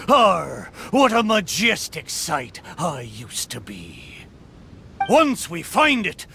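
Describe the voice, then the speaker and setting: Swaggering, high-pitched pirate ship spirit. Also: male voice, bright, forceful tone, a little hiss or hum, heavily acted. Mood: proud. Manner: hearty, bragging, swaggering pirate talk with "arr". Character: boastful, good-humored spirit of a mighty old pirate ship. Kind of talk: video game character acting.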